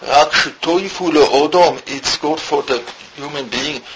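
Rabbi from Switzerland, halting second-language English, reads Hebrew fast; muffled recording with loud hiss. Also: male voice, fairly high pitch. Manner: halting non-native English, rapid Hebrew reading. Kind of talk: Torah lecture, reading Hebrew text then translating.

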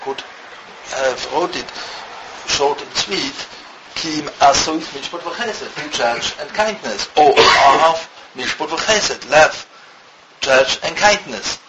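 Rabbi from Switzerland, halting second-language English, reads Hebrew fast; muffled recording with loud hiss. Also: male voice, fairly high pitch, expressive. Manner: halting non-native English, rapid Hebrew reading. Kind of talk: Torah lecture, reading Hebrew text then translating.

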